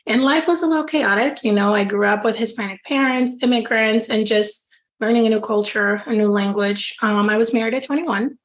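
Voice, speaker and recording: A woman from the Dominican Republic, a life coach and podcast guest, talking casually and warmly in a familiar, friendly manner. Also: fairly high pitch, brisk, rough recording. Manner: friendly, familiar, says "girl" often. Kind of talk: casual interview chat.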